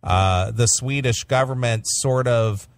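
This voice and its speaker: A man's voice: mid-range voice, slow, no room sound, clean studio sound. A man hosting a classic metal radio show, interviewing a guest by phone in a rambling way with many fillers. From United States.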